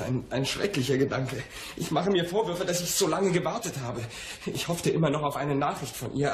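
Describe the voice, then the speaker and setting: An actor playing an anxious, spoiled young poet in a radio crime drama, speaking in an agitated, halting way. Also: male voice, fairly high pitch, a little hiss or hum, natural voice. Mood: desperate pleading. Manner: agitated, halting, repeats words. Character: anxious, spoiled young poet in his twenties, pleading lover.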